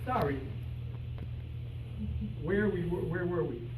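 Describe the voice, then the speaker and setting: A man playing an excitable, childish, gullible amateur detective in old-time radio comedy style. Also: sits high, slow. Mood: earnest. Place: live rehearsal in a room with an audience. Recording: echoey, noisy room, distant mic.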